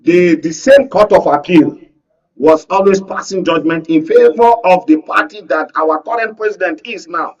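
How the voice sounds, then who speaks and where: A man's voice: high-pitched, moderate pace, far from the mic, highs cut off. A Nigerian man in a political panel discussion, speaking insistently and repeating phrases to hold the floor. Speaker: panel guest, media analyst. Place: radio and TV studio.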